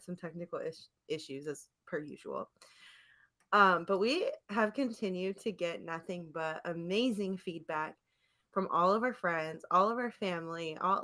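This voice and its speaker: A woman's voice: on the low side, fairly bright tone. A woman co-hosting a podcast in casual chat, effusive and giggly in her delivery. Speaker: podcast co-host. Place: remote internet call.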